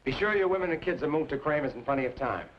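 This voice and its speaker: A dull, slightly noisy vintage radio recording. A man acts a crusading frontier townsman, fairly high in pitch, emphatic and pointed, in western radio-drama style. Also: animated, rapid-fire, natural voice. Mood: sober planning. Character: defiant crusading frontier townsman rallying men to fight. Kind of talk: old-time radio western acting.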